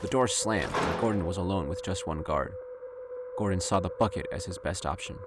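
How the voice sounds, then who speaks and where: A man's voice: low voice, fairly quick, heavy background noise. A man reading scripted narration for an audio drama. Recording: loud ambient sound effects bed.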